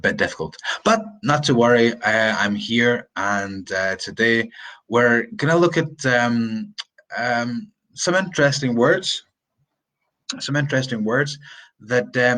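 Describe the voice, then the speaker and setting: A Scottish man in his thirties giving a live-streamed pronunciation lesson, chatty and rambling, repeating words and sounding them out slowly. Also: lowish voice, a bit bright. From Scotland. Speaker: English teacher. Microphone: phone or webcam on a live stream.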